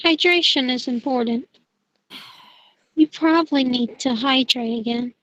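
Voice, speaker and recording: Dull dry recording, woman as bossy young witch, high voice, insistent, amateur audio drama. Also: unhurried, a bit bright, dry recording. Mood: matter-of-fact. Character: Jen, bossy, stubborn schoolgirl witch, fussing over her friend.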